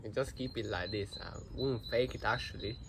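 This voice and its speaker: A man's voice: on the low side, a little fast. Teenage boy rambling earnestly to camera. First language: Hungarian. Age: teens. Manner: rambling, earnest, many fillers and restarts. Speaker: vlogger. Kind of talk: casual self-reflective talk to camera. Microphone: no microphone, built-in device mic. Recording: noisy built-in mic recording.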